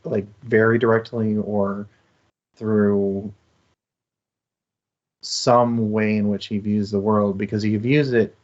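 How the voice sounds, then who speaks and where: Low-voiced man, earnest, slightly muffled sound. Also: little pitch movement, slow, long pauses, darkish tone. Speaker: co-host of a book discussion show. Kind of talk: casual, reflective book discussion.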